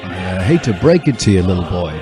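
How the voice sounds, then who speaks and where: A man's voice: deep voice, sing-song, fast talker, pitched below the performer's own voice. A man voicing a smug, condescending older corporate professor, lecturing in a patronizing, theatrical way for a comic story reading. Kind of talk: mock-dramatic fan fiction reading. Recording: music behind the voice.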